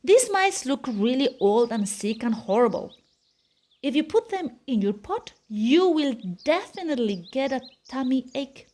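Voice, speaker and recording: A woman voicing a plucky, quick-thinking girl in a picture book, polite but firm and persuasive. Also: high-pitched, big pitch swings, darkish tone, heavily acted. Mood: warning.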